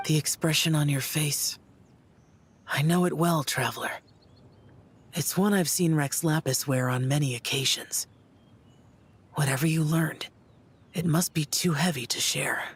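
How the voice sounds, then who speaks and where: An actor playing a gentle, perceptive, caring companion in a game, considerate and soft-spoken. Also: medium pitch, fairly even intonation, unhurried, pauses often, a little hiss or hum, natural voice. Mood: reassuring. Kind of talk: scripted game voice acting.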